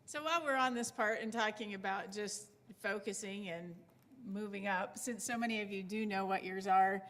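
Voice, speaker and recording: A woman's voice: mid-range voice, normal speed, fairly bright tone. A woman co-leading a workshop who asks the group for input and affirms each answer. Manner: affirming, inviting responses. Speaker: workshop co-facilitator.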